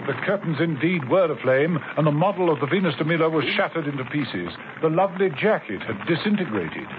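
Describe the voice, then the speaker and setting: A man's voice: on the high side, lively intonation, noisy background. Vivid male radio drama narrator. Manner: measured, vivid storytelling. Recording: tinny old radio broadcast.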